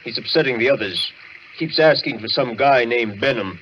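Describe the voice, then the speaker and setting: A man's voice: mid-range voice, lively intonation, moderate pace, close to an everyday voice. An actor playing a plain-spoken, uneasy male asylum ward attendant, blunt and colloquial, in an old radio drama. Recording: muffled, noisy vintage radio recording.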